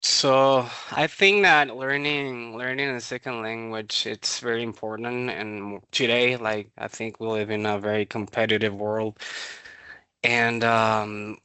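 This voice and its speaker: A young man from Nicaragua whose first language is Spanish, answering podcast interview questions in English with many pauses, ums and restarts. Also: on the low side, level tone, sharp tone, clear, resonant tone, no echo. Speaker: student services coordinator. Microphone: podcast microphone.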